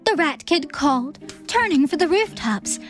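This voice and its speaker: A woman reading scripted story narration for a theatrical audiobook in an expressive, measured manner. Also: high-pitched, faint music behind.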